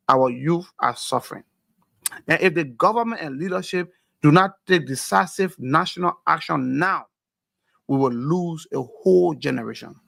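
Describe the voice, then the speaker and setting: A man's voice: fairly high pitch, vocal fry, fairly bright tone, no room sound. A man with a Liberian accent giving impassioned political commentary, emphatic and full of rhetorical questions, often repeating words as he presses his point. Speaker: panel commentator.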